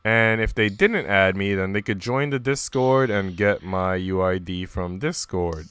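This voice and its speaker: A man's voice: sits low, a little slow, fairly bright tone, clean tone, no echo. A man live streaming in an informal, slangy way, moving between casual chat and reading aloud.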